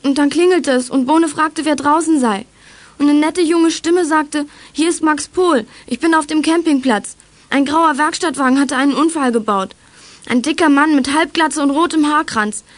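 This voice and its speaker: A female performer playing an excitable, earnest young girl detective telling things eagerly in a children's radio play. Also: high-pitched, moderate pace, no room sound, close to an everyday voice. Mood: recounting, shaken.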